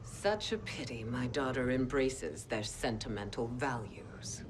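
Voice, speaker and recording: A woman voicing a proud, scheming queen in a video game cutscene, speaking in a low, breathy whisper. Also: a little slow, noisy background. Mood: scornful.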